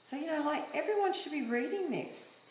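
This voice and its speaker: A woman's voice: sits high, expressive, moderate pace, echoey room, a little hiss or hum. A woman hosting a casual interview, chatting freely and leaning on fillers like "like" and "you know". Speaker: talk show host.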